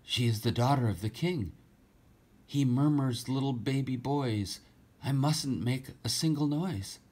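A chatty little girl of nearly nine with a high, lilting voice, as a man reading a storybook puts her on. She talks quickly and brightly, full of innocent questions. The voice swoops up well above a man's usual pitch. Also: expressive, husky. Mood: anxious whisper.

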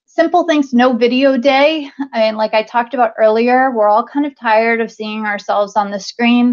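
A woman in her thirties presents to a conference audience over a video call, in a loose, conversational way full of ums and restarts. Her voice carries a noticeable gravelly fry at a middling pitch. The sound is dull and lo-fi, as webcam audio often is. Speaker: conference speaker, talent acquisition leader. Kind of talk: conference talk over slides.

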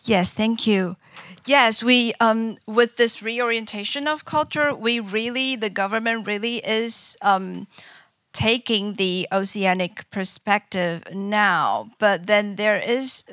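A woman in her thirties answering audience questions at an academic conference, speaking in a measured, thoughtful way into a handheld microphone. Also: medium pitch, a bit bright, no echo. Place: conference room, seated at a panel table.

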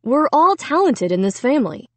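A woman's voice: high-pitched, sing-song, normal speed, dry recording, voice pushed up. A woman voicing a middle-aged father who scolds and reasons with restrained, weary sternness in a storybook. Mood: restrained annoyance. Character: scolding, tight-jawed middle-aged father, tiredly patient.

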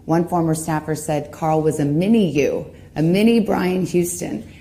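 Low, gravelly woman interviewing. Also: normal speed, warm tone. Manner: direct, probing. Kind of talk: TV interview question.

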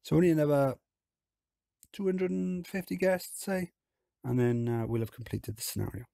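A man with a hoarse, croaky voice from illness, chatting casually as he talks through a theme park game. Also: a little high, moderate pace, no echo, clean studio sound. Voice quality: husky, croaky. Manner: relaxed, chatty, explains as he plays. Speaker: game video host.

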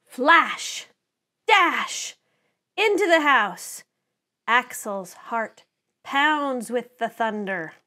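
A middle-aged woman reading a children's picture book aloud to preschoolers in an expressive, deliberate storytelling manner. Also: on the high side. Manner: animated, deliberate storytelling with dramatized sound words.

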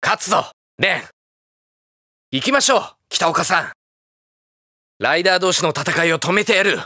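An actor playing a hot-blooded, earnest young armored hero in a video game, blunt and boyish in manner. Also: male voice, high-pitched, expressive, moderate pace, pushed and bright, no echo, clean studio sound. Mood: determined. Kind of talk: shouted battle lines and game voice clips.

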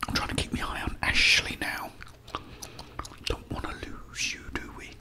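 A middle-aged man whispering breathy ASMR commentary as he plays a video game, chatting playfully to the characters. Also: moderate pace.

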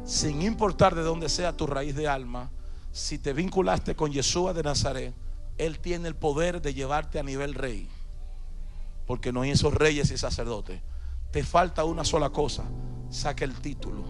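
A man's voice: fairly high pitch, unhurried. A man in his thirties preaching emphatically to a congregation through a handheld microphone, often repeating his phrases. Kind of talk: charismatic church sermon. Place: large church auditorium, on stage. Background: music playing behind the voice.

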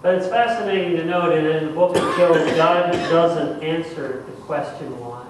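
Echoey, high-voiced preacher, slow and deliberate. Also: male voice. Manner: deliberate, dramatic pauses and repeated phrases for emphasis. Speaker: pastor. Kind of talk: sermon. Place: church sanctuary, speaking at the front. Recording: echoey church, distant mic, faint noise.

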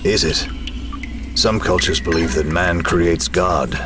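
A man playing a stern, brooding masked vigilante leader in a comic-book drama, commanding and measured. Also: low-pitched. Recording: loud music under the voice. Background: loud music.

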